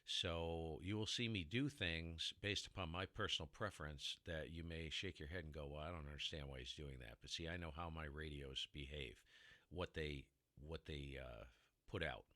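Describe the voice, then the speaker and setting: A man with a very low baritone voice hosting a casual ham radio tutorial, rambling and sidetracking as he explains, recorded in a heavily dampened room. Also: moderate pace, bright, forceful tone, dry recording. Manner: rambling, self-interrupting explainer with mic tests. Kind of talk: casual how-to demonstration.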